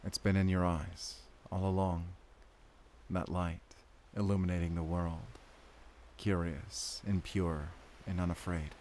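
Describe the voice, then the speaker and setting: An actor playing a weary, devoted werewolf hunter in an intimate romantic audio roleplay, speaking haltingly and sincerely with soft sighs. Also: male voice, deep voice, little pitch movement, a little hiss or hum. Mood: tender, reflective.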